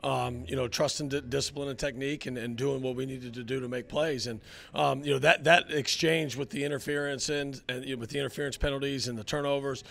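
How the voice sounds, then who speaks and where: Gravelly middle-aged football coach, halting. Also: male voice, mid-range voice, steady tone, a bit bright. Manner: halting, many fillers and restarts. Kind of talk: postgame interview. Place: indoor locker room area. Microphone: handheld mic held by interviewer.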